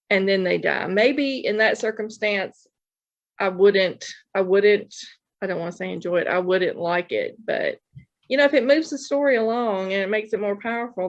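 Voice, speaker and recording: A woman's voice: mid-range voice, normal speed. A woman novelist chatting casually and earnestly as an interview guest, on slightly muffled audio. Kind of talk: casual chat. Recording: dull, lo-fi audio.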